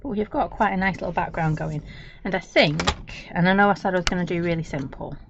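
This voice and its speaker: A woman with a slightly croaky voice, chattily talking viewers through a live craft demonstration. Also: lowish voice, brisk. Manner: chatty, talks viewers through each step. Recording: dull lo-fi stream with noise.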